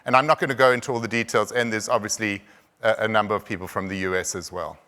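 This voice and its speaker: A man with a South African accent giving a conference presentation, halting, with frequent restarts and repeated words. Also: lowish voice, fairly even intonation, sharp tone, clean tone. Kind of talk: conference talk.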